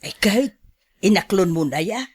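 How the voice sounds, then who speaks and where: A woman's voice: mid-range voice, lively intonation, normal speed, husky. A woman playing a nosy, admonishing older sister and mother in a radio drama, emphatic and gossipy. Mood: nosy, teasing.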